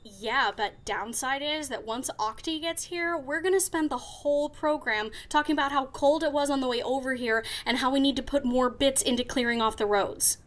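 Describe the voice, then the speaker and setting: A woman's voice: sits high, normal speed, hardly any pauses, fairly bright tone. A woman playing a brash, sarcastic pony radio DJ with a big ego in a comic audio drama. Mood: irritated, sarcastic. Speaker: radio host. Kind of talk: comic audio drama with first-person narration.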